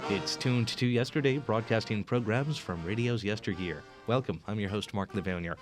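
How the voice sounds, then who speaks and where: A man hosting a program of old radio shows, speaking in a smooth announcer manner. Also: on the low side, normal speed, loud music behind the voice. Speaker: host.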